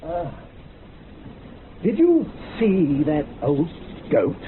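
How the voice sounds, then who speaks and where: An actor in a radio drama playing an eloquent, grimly fascinated man who speaks in a deliberate, literary, theatrical way. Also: on the high side, huge pitch swings, full character voice. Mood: intrigued. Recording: muffled phone-like sound with faint noise.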